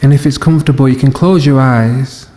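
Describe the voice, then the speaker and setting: A man leading a guided body scan meditation as a teacher, speaking gently and unhurriedly in short phrases with long pauses. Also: medium pitch, steady tone. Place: live session in a reverberant room. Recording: long reverb of a hall.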